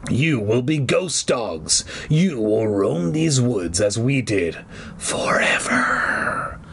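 A man voicing a menacing, centuries-old cursed ghost dog with a dry, growling, whispery voice and a slow, commanding manner, as in a storybook. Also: medium pitch, sing-song, gravelly, a bit bright, slight background noise, heavily acted. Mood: ominous, commanding.

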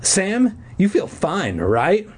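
Man putting on a shrill, hoarse sick teenage girl. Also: huge pitch swings, extremely slow, full character voice. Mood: nasty, accusing. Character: sick, spiteful teenage girl accusing her friend.

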